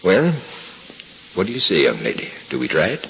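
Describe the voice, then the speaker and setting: An actor playing a kindly, candid American doctor in a 1950s radio drama, reassuring and avuncular in manner. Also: male voice, deep voice, wildly swooping pitch, moderate pace, a bit bright, full character voice. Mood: frank, encouraging. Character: kindly, candid male doctor coaxing a patient. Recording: dull, hissy old radio transcription.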